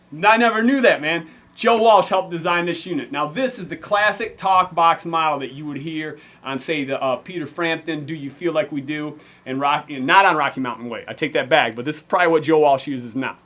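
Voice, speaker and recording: A man's voice: fairly high pitch, a bit bright. An American man giving an informal gear demonstration as a musician vlogger, with a slangy, laid-back way of talking. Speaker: musician presenting a band's vlog.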